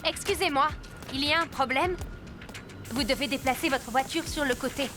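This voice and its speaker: A woman's voice: high-pitched, normal speed, over music, natural voice. A woman playing a kindly, eager police officer in a French cartoon dub. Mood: helpful.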